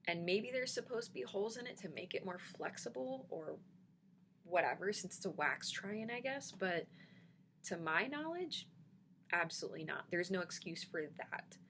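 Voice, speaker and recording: A woman's voice: medium pitch, expressive, normal speed, fairly bright tone, a little hiss or hum. A woman vlogging casually about dentures, reassuring her viewers with frequent ums and a slight lisp. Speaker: denture vlogger answering comments. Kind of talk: casual vlog chat.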